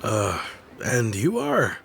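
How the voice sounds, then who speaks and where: A man's voice: lowish voice, wildly swooping pitch, slow pace, creaky, noisy background, full character voice. An actor playing a brusque, busy male townsman in a video game, curt and dismissive with irritated politeness. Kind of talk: video game character acting.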